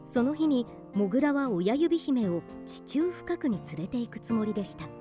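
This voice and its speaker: A woman's voice: fairly high pitch, sing-song, normal speed, music in the background. A woman narrating a fairy-tale radio drama in scripted storybook style.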